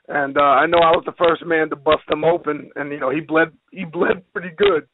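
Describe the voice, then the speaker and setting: A man's voice: on the high side, steady tone, brisk. Blunt man on a hissy phone line. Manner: blunt, streetwise, slangy. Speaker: professional wrestler being interviewed. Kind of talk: casual phone interview.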